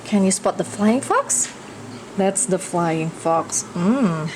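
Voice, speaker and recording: A woman from Indonesia reading a picture book aloud for children, expressive and inviting listeners with questions. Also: medium pitch, slow pace, noisy background. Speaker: read-aloud storyteller.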